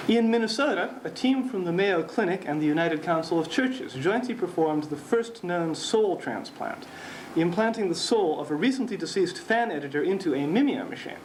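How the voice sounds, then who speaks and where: A man playing a deadpan news anchor of the future, reading a humorous newscast in a measured, mock-serious way. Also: high voice, rough recording. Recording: faint noise, amateur 1970s recording.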